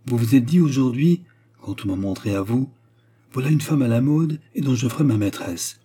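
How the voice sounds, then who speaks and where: Storybook role: repentant courtesan played by a male reader, solemn and commanding, declamatory, with a husky, dark tone. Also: medium pitch, lively intonation, moderate pace, voice pushed up. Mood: firm. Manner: formal, declamatory, emphatic. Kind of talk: dramatic storybook dialogue.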